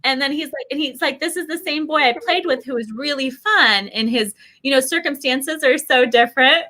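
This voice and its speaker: A middle-aged woman telling casual stories in an animated, chatty way, acting out what people said. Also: high voice, normal speed. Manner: animated, chatty, acts out quoted remarks. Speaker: guest sharing family travel experiences. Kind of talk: casual conversational storytelling.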